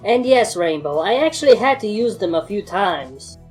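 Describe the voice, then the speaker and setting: A woman's voice: medium pitch, animated, normal speed, darkish tone, faint music behind, natural voice. A woman voicing a world-weary, defensive pony mare in a fan fiction story, speaking in a guarded, matter-of-fact way. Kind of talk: fan fiction character dialogue.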